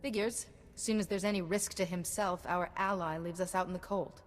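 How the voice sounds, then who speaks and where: A woman's voice: fairly low pitch, steady tone, a little fast, in a large hall, natural voice. An actress playing a principled female Jedi in a video game, earnest and firm. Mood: resolute. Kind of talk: game voice acting.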